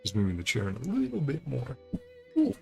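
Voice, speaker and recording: A man's voice: mid-range voice, huge pitch swings, a little fast, gravelly, dark tone, soft background music. A man streaming a video game, giving casual, rambling, laid-back commentary as he plays.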